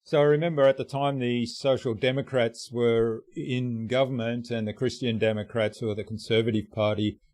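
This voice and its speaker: A man from Australia hosting an interview, speaking thoughtfully and hesitantly with many pauses and ums. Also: medium pitch, little pitch movement, darkish tone, no echo. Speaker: podcast host. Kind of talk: conversational interview.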